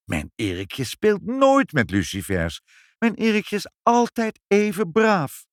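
A man's voice: medium pitch, huge pitch swings, measured pace, no echo, clean studio sound. A man voicing an indignant, doting lady who protests emphatically in a children's story. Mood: protesting. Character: indignant, doting mother, a proper lady in denial.

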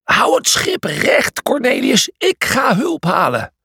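Children's storybook zebra champion, played by a man, bossy, exasperated, urgent, raised husky voice, close dry sound. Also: very high-pitched, huge pitch swings, slow pace, pushed and bright, dry recording, pitched above the performer's own voice, full character voice. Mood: urgent, determined. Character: bossy, determined young male zebra gladiator champion.

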